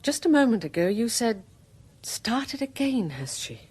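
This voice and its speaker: An actress playing a polite, chatty married newcomer in a radio drama, with a British accent and a genteel, solicitous manner. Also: female voice, fairly high pitch, sing-song, measured pace, a little mellow, slightly rough, heavily acted. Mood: curious.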